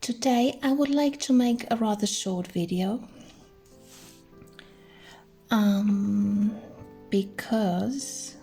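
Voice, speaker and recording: A middle-aged woman, chatting gently through a crafting tutorial and thinking aloud as she works. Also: medium pitch, very slow, gravelly, long pauses, slightly rough, faint music behind. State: hoarse. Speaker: crafting channel host. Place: indoors at a craft table.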